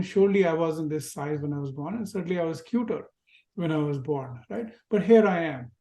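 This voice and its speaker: A man with an Indian accent giving a reflective spiritual lecture to an online class, asking gentle rhetorical questions. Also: sits high. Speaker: teacher. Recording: roomy echo.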